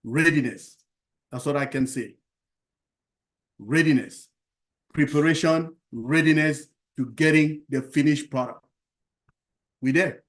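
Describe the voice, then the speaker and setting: A man from Cameroon speaking at an online round table, earnest and halting, repeating himself and pausing on fillers. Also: fairly high pitch. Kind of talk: impassioned testimonial speech.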